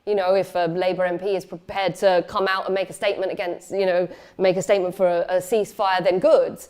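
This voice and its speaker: A woman's voice: on the low side, little pitch movement, fast talker, darkish tone, smooth. A woman giving a lecture, talking loosely with many fillers and restarts. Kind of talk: memorial lecture with questions.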